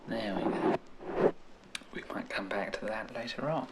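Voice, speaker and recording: A man with an English accent doing an old-fashioned ASMR role-play, hushed and soothing, with genteel, formal turns of phrase. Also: fairly low pitch, very noisy. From England. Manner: slow, hushed, soothing delivery. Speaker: ASMR host.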